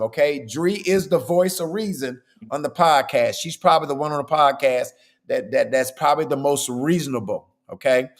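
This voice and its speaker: A man hosting a podcast in a casual, chatty way. His voice is hoarse and worn, and he keeps checking in with "okay?". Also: a little high. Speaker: podcast host.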